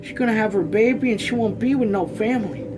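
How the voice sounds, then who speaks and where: Man voicing an anxious, pleading country mother, pitched high. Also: fast talker, over music, lightly acted. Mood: pleading, distressed. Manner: folksy dialect, earnest pleading. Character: anxious, weary, pleading middle-aged rural mother.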